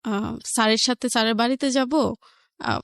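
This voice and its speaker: A woman with a Bangladeshi accent, an interview guest recounting her personal life in a halting way, repeating phrases for emphasis. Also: fairly high pitch, a bit bright, dry recording, clean studio sound.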